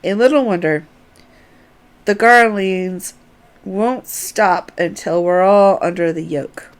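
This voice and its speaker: A woman's voice: medium pitch, slow. A woman voicing a welcoming, fervent townsperson in a fantasy game, earnest and familiar in manner.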